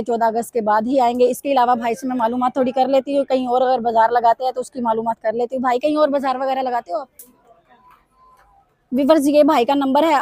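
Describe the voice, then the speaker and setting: A woman vlogger, hoarse from a sore throat, walking through market stalls and pitching bargains to her viewers. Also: dark tone, no room sound. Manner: repeatedly addresses viewers, upbeat sales pitch. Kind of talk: casual walkthrough commentary. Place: busy weekly market stalls.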